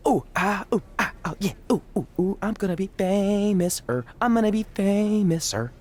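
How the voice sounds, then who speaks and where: A vain, eager young ex-wrestler turned show host for a video game. His voice sits a little high and moves around in lively, exaggerated swings. The delivery is chatty and self-pleased. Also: moderate pace, a little hiss or hum. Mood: giddy. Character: excitable, vain, pun-loving retired wrestler turned TV host. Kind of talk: video game cutscene acting.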